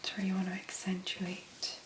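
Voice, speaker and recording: A woman doing soft-spoken ASMR make-up commentary in a breathy, mostly whispered voice, musing gently as she works. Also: medium pitch, monotone, echoey room. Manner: slow, gentle, unhurried musing. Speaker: ASMR video creator.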